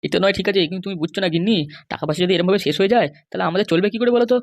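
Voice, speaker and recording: Man playing a middle-aged henpecked cartoon husband, very rapid pleading chatter, West Bengal accent, dry sound. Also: sits high, no echo. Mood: wheedling. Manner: wheedling, grumbling. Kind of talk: comic cartoon dialogue.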